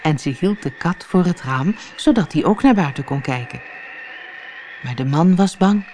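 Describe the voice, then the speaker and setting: A woman narrating a children's fairy tale as an audiobook reader, in a deliberate storytelling manner. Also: sits low, expressive, darkish tone, slight background noise.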